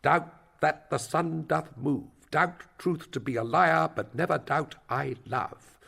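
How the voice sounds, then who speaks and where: Man acting gravelly, pompous old royal counsellor. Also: a little high, bright, forceful tone, exaggerated voice. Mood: declaiming. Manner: pompous, rambling, theatrical delivery with drawn-out words. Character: wordy, self-important elderly male royal counsellor, eager schemer. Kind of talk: Shakespearean stage-play acting.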